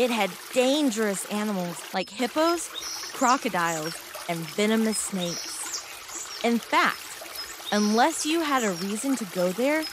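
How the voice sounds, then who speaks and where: A woman reading a scripted moral story for children in a deliberate, expressive storytelling manner. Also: medium pitch, background noise. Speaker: narrator.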